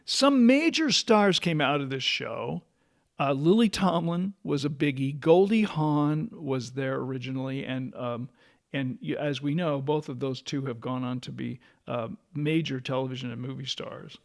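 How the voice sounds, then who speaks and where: A man's voice: medium pitch, normal speed, vocal fry. A middle-aged or older American man co-hosting a casual, nostalgic talk show with a bantering, conversational manner. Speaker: podcast co-host.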